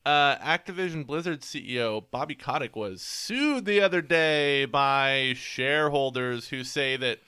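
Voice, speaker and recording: A man's voice: medium pitch, lively intonation, measured pace, few pauses, sharp tone, clean tone, no room sound. A man hosting a podcast in a casual, chatty style. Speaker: podcast host.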